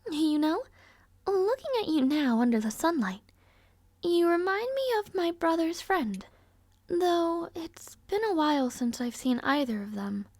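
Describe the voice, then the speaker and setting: A woman's voice: high voice, very animated, measured pace, darkish tone, dry recording. A woman playing a rude, arrogant but somewhat shy young princess in an audio roleplay, speaking in a formal, languid, world-weary way. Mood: languid, bored. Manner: haughty, languid, sighing, formal wording. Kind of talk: scripted audio roleplay acting, addressing the listener. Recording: close, dry, no ambience.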